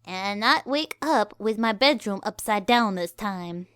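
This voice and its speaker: A woman voicing an elder alicorn sun princess, a warm big sister who gently chides and teases. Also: mid-range voice, animated, a little slow, smooth, no echo, studio quality. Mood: amused. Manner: gently chiding, teasing.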